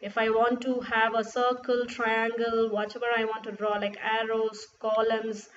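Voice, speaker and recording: A woman whose first language is Urdu, walking through a screen-recorded software tutorial step by step with fillers and restarts. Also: fairly high pitch, monotone, a little slow. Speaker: tutorial presenter. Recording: dull lo-fi sound with faint noise.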